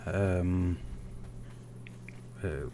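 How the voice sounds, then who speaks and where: A low-voiced Danish man talks slowly through a game stream, murmuring to himself as he plays and answering viewers. Faint game ambience sits behind him. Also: gravelly, slight background noise. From Denmark. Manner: soft-spoken and unhurried, muttering while playing. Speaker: gaming streamer. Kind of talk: live gameplay commentary reading chat.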